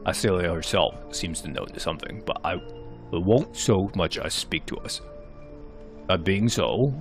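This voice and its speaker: A man's voice: low voice, creaky, heavily acted. A man voicing a blunt, sardonic conspirator in a fantasy game, speaking urgently in archaic phrases. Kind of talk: amateur narrated fantasy game cutscenes. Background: music.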